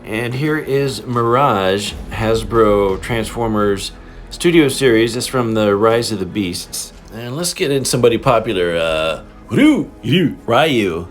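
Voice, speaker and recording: A man hosting a casual toy review in a playful, informal, slangy way, commenting as he handles figures. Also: mid-range voice.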